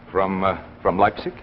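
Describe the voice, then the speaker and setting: An actor playing a polite, hesitant young man, a former student, in 1950s radio drama style. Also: low voice. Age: young adult. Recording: dull old radio, faint noise.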